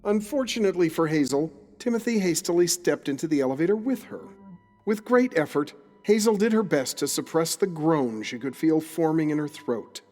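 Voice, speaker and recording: A man playing the narrator of an audio drama, telling the story in a literary, deliberate, descriptive way. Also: a little high, expressive, darkish tone, natural voice. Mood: wry. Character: storytelling male narrator, confidant of the detective. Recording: long reverb, music under the voice.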